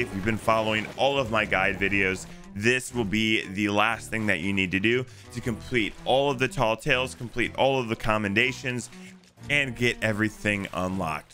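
A man's voice: fairly low pitch, bright, forceful tone. A man narrating a video game walkthrough in a casual, instructive way. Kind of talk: walkthrough commentary over gameplay. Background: music.

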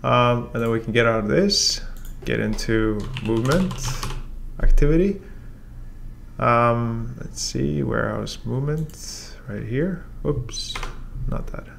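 A man in his forties teaching a software tutorial in a relaxed, step-by-step way, with frequent ums. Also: mid-range voice, slow pace, vocal fry, slight background noise. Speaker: software trainer. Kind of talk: casual screen-share tutorial. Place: room with a brick wall and whiteboard, then voice-over of screen recording.

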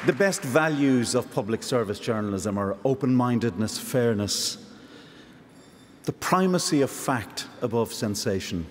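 A middle-aged Irish man giving an earnest, measured acceptance speech at a podium in a large ceremony hall. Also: medium pitch, animated. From Ireland. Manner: measured, earnest, rising to passionate emphasis. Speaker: award recipient, journalist. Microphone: podium microphone. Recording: long hall reverb, faint noise.

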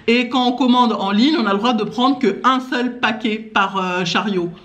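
A woman's voice: on the high side, moderate pace, fairly bright tone, highs cut off. A woman chatting casually and informally, with slangy phrasing, as a family vlogger at home. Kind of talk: casual haul vlog chat. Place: indoor living room at home. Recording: echoey room, clear noise, clicks.

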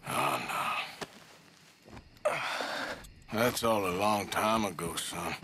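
An actor playing a gruff, protective American outlaw cowboy in a video game, with a dry, sardonic, folksy way of talking. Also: male voice, sits low, slow, very noisy. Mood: gentle. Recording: loud music and horse hooves behind. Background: music, horse hooves.

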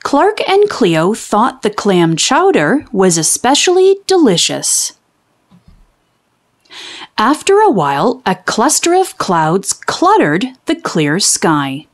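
A woman reading a children's storybook aloud, with expressive, deliberate enunciation for young children. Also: medium pitch. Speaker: children's read-aloud host.

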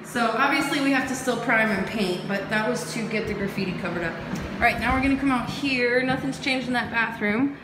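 A young woman, chatting excitedly as she films a walkthrough of a house she is renovating, speaking into her phone. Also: on the high side, brisk, noisy background. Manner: chatty, excitable, jumps between topics. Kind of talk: casual walkthrough vlog. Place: empty house and yard, indoors and outdoors. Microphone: phone held while filming.

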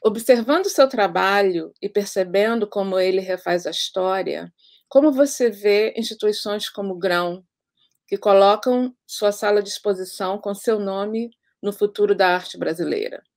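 A woman moderating a panel over a video call, reading out audience questions in a measured way with some restarts. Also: medium pitch, no echo. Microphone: video call webcam.

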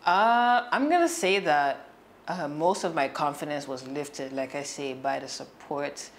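Low-voiced woman with a Toronto accent. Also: unhurried. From Canada. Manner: relaxed, chatty storytelling with emphatic asides. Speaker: guest, singer. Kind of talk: casual interview conversation. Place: small indoor studio room.

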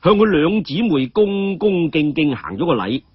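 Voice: medium pitch, big pitch swings, moderate pace, breathy edge, no echo. A storyteller with a Cantonese accent narrating a martial-arts tale in a dramatic audiobook style. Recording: dull, muffled audio.

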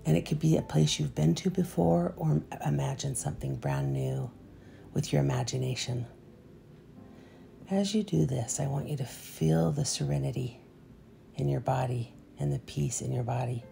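A low-pitched woman with heavy vocal fry guides a meditation. She is soft and soothing, trailing into breath as phrases end, and takes her time with gentle, unhurried delivery. Meditation music plays behind her.

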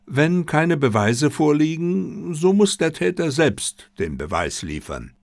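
A confident male detective played by a male narrator holds forth in an audiobook. His delivery is deliberate, courteous and showmanlike, with lively swings in pitch. The sound is dry and close. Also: vocal fry, dry recording, full character voice. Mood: assured, playful. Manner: deliberate, emphatic, polite, showmanlike. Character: confident, theatrical male detective addressing suspects.

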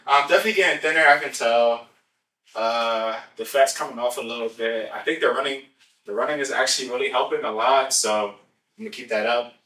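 Laid-back young man, bright roomy voice. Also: medium pitch, recorded from a distance. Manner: laid-back, chatty, slangy. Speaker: fitness vlogger. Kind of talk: casual vlog chat. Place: home kitchen and outdoor field. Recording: roomy sound from off mic.

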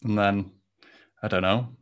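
A young man from the United Kingdom hosting a podcast, chatting in a relaxed way with lots of fillers over a headset mic. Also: lowish voice, measured pace, smooth. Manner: relaxed, filler-heavy, says "like" a lot. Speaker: podcast host. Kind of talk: casual video-call chat. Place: home room indoors.

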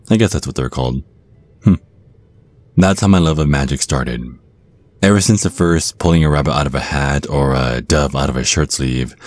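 A man's voice: extremely low pitch, darkish tone, dry recording. A man voicing a suave, theatrical vampire stage magician, teasing and pompous, in an ASMR roleplay.

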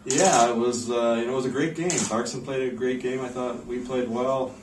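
A North American man in his forties, a coach answering post-game questions in a measured, understated way with hesitant pauses. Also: mid-range voice, steady tone. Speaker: head ice hockey coach. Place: indoor press room in front of a backdrop. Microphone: camera microphone at a distance. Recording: roomy, distant mic, clear background noise.

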